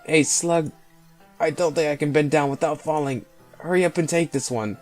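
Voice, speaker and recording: A man's voice: on the high side, steady tone, normal speed, voice pushed up, natural voice. A man voicing a short, stubborn, hot-tempered schoolgirl in a video game, snappy and indignant. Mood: bossy, impatient. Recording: voice over game music.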